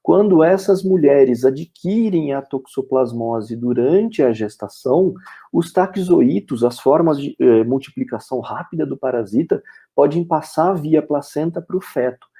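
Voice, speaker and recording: A man's voice: a little high, animated, measured pace, dark tone, husky. A man with a Brazilian Portuguese accent giving a veterinary webinar lecture, explaining step by step, with "é" fillers and frequent "ok?" checks.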